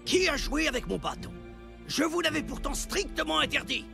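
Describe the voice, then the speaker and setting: A severe cartoon chief with a very creaky, gravelly voice, pitched unusually high and swinging in tone. He speaks formally and commands everyone, with music clearly underneath. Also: sing-song, normal speed, full character voice. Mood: stern. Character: stern old village chief, strict and commanding. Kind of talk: French cartoon dub acting.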